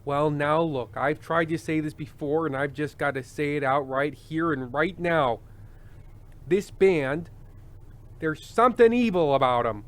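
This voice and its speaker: A man playing a world-weary, fretful television host with halting delivery in an old-time radio drama. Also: fairly high pitch, lightly acted. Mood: alarmed, insistent. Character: anxious, world-weary male TV show host.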